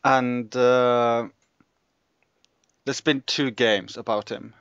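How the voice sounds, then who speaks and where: A man's voice: mid-range voice, fairly even intonation, very slow, a bit bright, clean tone, no room sound. A man chatting casually and hesitantly as he plans a video, on a somewhat dull recording. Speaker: video creator. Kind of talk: casual chat while planning a video.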